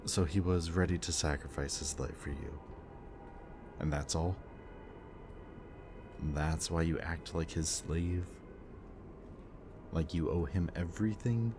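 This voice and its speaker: Very deep slow-talking man. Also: background noise. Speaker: villain speaker in audio roleplay. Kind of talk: softly spoken roleplay monologue to the listener.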